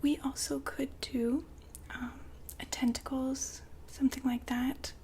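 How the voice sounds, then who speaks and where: A woman whispering softly and politely in an ASMR customer-service roleplay, breathy and gently hesitant. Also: high voice, level tone. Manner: soft-spoken, polite, unhurried, gently hesitant. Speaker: ASMR roleplay performer as a shop consultant.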